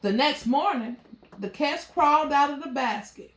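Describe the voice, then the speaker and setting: A woman's voice: high-pitched, expressive, normal speed, fairly bright tone. A woman reading a children's story aloud as a narrator. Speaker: storybook reader. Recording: roomy, echoey sound.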